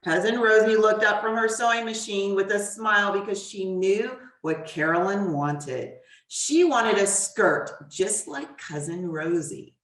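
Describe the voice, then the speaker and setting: A woman reading a children's storybook as guest author, in a deliberate, expressive way with pauses. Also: medium pitch. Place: echoey room, away from the mic. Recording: roomy echo, distant mic.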